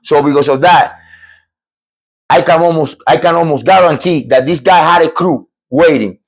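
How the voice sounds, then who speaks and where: A middle-aged man from Cuba tells a scary true story on his podcast, his voice sitting a little high for a man. He talks with emphatic energy, often repeating himself and restarting sentences as he builds his point. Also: moderate pace. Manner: animated, repetitive, emphatic with restarts. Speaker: podcast host.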